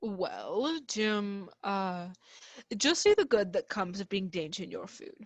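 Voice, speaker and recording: A woman playing a genial, fussy gentleman doctor in a radio play, chatty and avuncular with hesitant little pauses. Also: medium pitch, sing-song, dry recording, heavily acted. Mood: genial, confiding.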